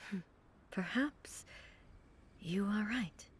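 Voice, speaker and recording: Composed, regal game ruler. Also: female voice, medium pitch, background noise. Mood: gentle reassurance. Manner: measured, formal, calm. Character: composed, regal female ruler, reserved and gracious. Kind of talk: dramatic video game voice acting. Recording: game music behind voice.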